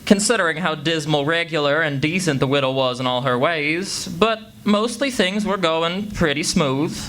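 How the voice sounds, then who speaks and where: Man playing a bright-voiced, folksy country boy. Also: a little high, slight background noise, poor audio. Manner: folksy, ungrammatical rural drawl. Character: Huckleberry Finn, plain-spoken rough-edged country boy telling his tale. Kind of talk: radio play monologue before live audience.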